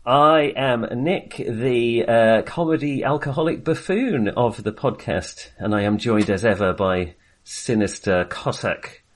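A man co-hosting a podcast, chatting casually about films in a halting, jokey, self-deprecating way. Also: medium pitch. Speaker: podcast co-host. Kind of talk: casual film discussion.

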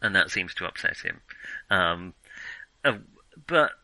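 A man's voice: low voice, very animated, measured pace, gravelly, bright, forceful tone, no echo, low-quality recording. A man co-hosting a casual film discussion, giving opinions hesitantly as he thinks them through. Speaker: film podcast co-host.

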